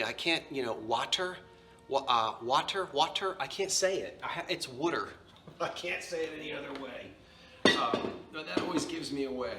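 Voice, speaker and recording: Man, New Jersey accent, conversational, corrects himself as he goes, bright tone. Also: fairly high pitch. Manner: chatty, self-correcting, conversational. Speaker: antiques seller. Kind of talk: casual show-and-tell vlog.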